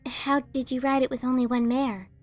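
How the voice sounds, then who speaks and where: A woman plays a pegasus mailmare in a fan fiction drama. Her voice is high, earnest and a little hesitant, with a dry edge, over faint music. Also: little pitch movement, normal speed. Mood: puzzled. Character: earnest, sheepish pegasus mailmare, younger sister.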